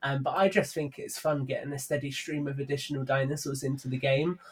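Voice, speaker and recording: A man's voice: medium pitch, steady tone, brisk, breathy edge. A man speaking as a guest on a podcast, in casual conversation.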